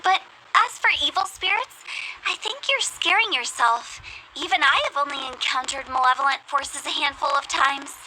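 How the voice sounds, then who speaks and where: A woman's voice: high voice, normal speed, sharp tone, slight background noise, poor audio. A woman playing a confident, playful young funeral parlor director in a video game, with a self-assured theatrical manner. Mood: matter-of-fact.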